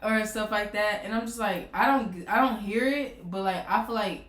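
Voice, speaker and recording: A woman's voice: medium pitch, normal speed, a bit bright. A woman in her twenties chatting casually, often trailing off mid-thought. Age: 20s. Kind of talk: casual chat. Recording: roomy echo.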